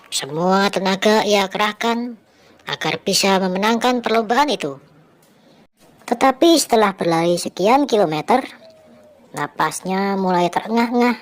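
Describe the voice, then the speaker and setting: A woman narrating a children's story in a gentle, clear storybook manner. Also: mid-range voice.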